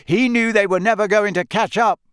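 A man plays a keen storybook teenage boy calling out over noise. The voice is raised high and shouted, yet reasonable in its delivery. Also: steady tone, a little fast, clear, resonant tone, no room sound, clean studio sound. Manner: shouting, matter-of-fact reasoning.

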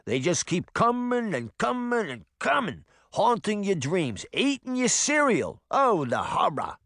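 A man's voice: high-pitched, huge pitch swings, a little slow, fairly bright tone, no echo, clean studio sound, voice pushed up, exaggerated voice. A man voicing a nervous, paranoid male cat who is an army veteran, with a New Jersey accent. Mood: panicky. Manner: nervous, dramatic, doom-laden.

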